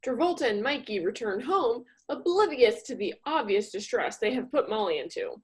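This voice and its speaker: A woman's voice: fairly high pitch, sing-song, normal speed. A woman reading comedic scripted narration for a radio play.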